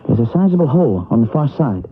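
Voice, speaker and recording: A man's voice: medium pitch, sing-song, quick pace, close to an everyday voice. An actor playing an earnest young man who narrates and talks through a night of hunting a ghost in a 1970s radio drama. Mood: uneasy. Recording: tinny old radio broadcast with music.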